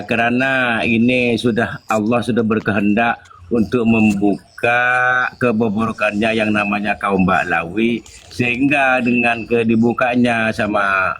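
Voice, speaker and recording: Low-voiced Madurese man speaking haltingly. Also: fairly bright tone. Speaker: guest speaker in a group discussion. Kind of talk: informal religious talk.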